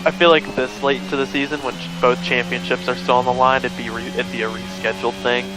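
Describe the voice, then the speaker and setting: A man with a cold sits in as co-commentator, sniffling between remarks. He talks casually and bluntly at an ordinary pitch. Music runs clearly behind him. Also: flat delivery, quick pace, low-quality recording. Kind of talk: sim racing commentary.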